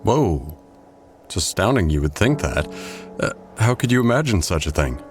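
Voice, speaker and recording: A man playing a guarded, earnest gentleman with a secret, speaking haltingly in an audio drama. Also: low voice, big pitch swings. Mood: casual, evasive. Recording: music under the dialogue.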